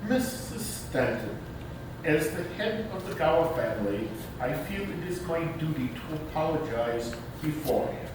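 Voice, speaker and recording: A man's voice: lively intonation, normal speed, close to an everyday voice. A man playing a pompous, sardonic middle-aged bachelor uncle with formal, wordy speech in a comic stage reading. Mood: formal, stern. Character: pompous, wordy bachelor uncle of forty-two, easily affronted. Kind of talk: staged readers theater comedy. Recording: echoey hall, far-off mic, clear rumbling noise.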